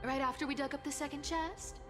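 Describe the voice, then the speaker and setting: A woman's voice: sits high, steady tone, a little fast, close to an everyday voice. A woman playing a sly, playful young female boss in a video game, teasing and languid yet commanding. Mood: teasing, bossy. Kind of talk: video game character acting. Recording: echoey hall with music behind.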